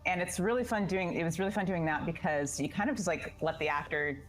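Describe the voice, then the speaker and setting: Low-pitched woman in an interview. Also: fast talker, a little hiss or hum, poor audio. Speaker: voice director and voice actor interviewee. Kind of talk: casual interview answers.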